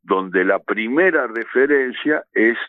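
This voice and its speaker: A man's voice: mid-range voice, lively intonation, takes it slowly, no room sound. An older Argentine man reminiscing conversationally as an interviewee. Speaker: radio veteran, interviewee. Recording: tinny phone-like line, dry.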